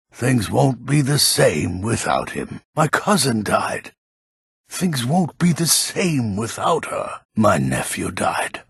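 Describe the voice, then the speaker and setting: Grumpy old man, very gravelly, raspy, gruff curmudgeon, fantasy game shopkeeper, expressive swings in pitch. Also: no echo, studio quality, heavily acted. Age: older. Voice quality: gravelly, husky. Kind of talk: video game character lines.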